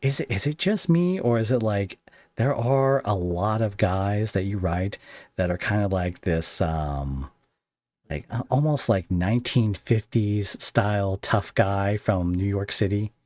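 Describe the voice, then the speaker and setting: A man with a deep voice hosts a casual interview in a very dry-sounding recording. He talks haltingly, circling around his questions and breaking off to rephrase them. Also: expressive, a little mellow, dry recording. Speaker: podcast host interviewing a script writer.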